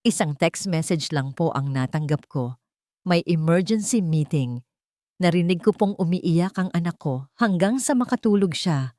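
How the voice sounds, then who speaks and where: A woman with a Filipino accent voicing a wounded wife who testifies politely and coldly in a restrained near-monotone. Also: on the low side, normal speed, no room sound, studio quality, natural voice. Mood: cold, restrained hurt.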